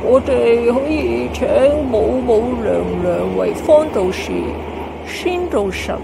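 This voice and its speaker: A woman's voice: a little high, slow, creaky, heavily acted. A woman voicing a cautious, deferential adviser to an emperor in an amateur radio drama, speaking hesitantly on a noisy recording. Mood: cautious, advising.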